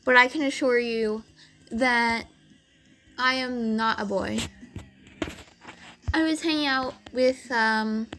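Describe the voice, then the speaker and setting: A woman with a raspy voice telling a rambling storytime, full of "like" fillers, over game footage. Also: fairly high pitch, takes it slowly, pauses often, fairly bright tone, faint music behind. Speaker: vlogger.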